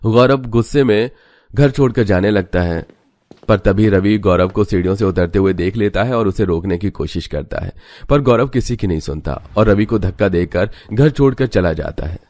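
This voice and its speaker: A man's voice: on the low side, normal speed, darkish tone. A man reading scripted narration for a children's moral tale as the storyteller.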